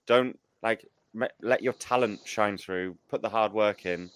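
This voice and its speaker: Lower-pitched man hosting a casual podcast. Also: a little slow, clean tone, no echo. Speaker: podcast host. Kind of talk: casual chat, interviewing.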